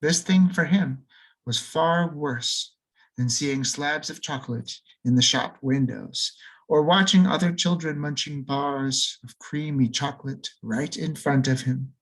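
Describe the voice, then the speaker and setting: A man reading a children's storybook aloud, slowing down and lingering on key words, with free swings in pitch and loudness for emphasis. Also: low-quality recording. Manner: expressive, lingers and slows on key words for effect. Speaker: audiobook reader.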